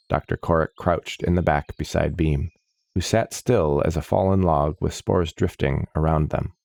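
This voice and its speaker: A man reading scripted fantasy story narration in a measured, descriptive manner. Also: a bass voice, darkish tone, no room sound. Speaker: audiobook narrator.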